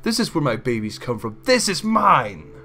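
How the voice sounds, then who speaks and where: A man's voice: mid-range voice, sing-song, normal speed, bright, forceful tone, soft background music, pitched above the performer's own voice. A man voicing a hysterical, possessive mare baker who shrieks in manic outbursts. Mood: furious.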